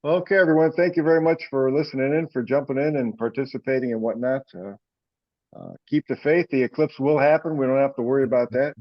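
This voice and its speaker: A man's voice: medium pitch, fairly even intonation, quick pace. A man with a mid-range voice chairs a conference call in an informal, rambling style. The audio is dull and lo-fi, like an online meeting. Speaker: meeting host. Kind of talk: casual conference-call talk. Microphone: teleconference call.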